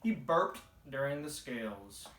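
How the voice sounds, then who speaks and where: A man's voice: medium pitch, animated, measured pace, a bit bright. A man reading a children's storybook aloud as the narrator. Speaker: storybook reader. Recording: echoey, roomy home recording.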